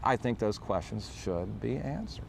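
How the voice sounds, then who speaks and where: A man's voice: lowish voice, animated, moderate pace, creaky, a little hiss or hum. A man giving an off-the-cuff answer in a street interview.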